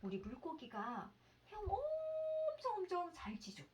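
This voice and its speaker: A woman's voice: very high-pitched, wildly swooping pitch, measured pace, darkish tone, slight background noise. A woman reading a children's science storybook aloud as a mother, expressively, explaining like a teacher and putting questions to the child.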